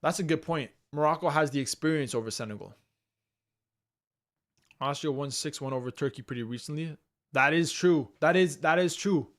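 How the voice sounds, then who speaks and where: A man's voice: on the high side, moderate pace, a bit bright. A young man from Canada hosting a live stream, chatting casually and energetically with his viewers in slangy, repetitive talk. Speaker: livestream host.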